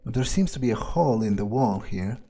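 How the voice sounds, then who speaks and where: A man thinking aloud in character during a video game playthrough, halting and matter-of-fact, with casual swearing. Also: medium pitch, animated, mellow, slight background noise. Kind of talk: in-character musing aloud, thinking through events.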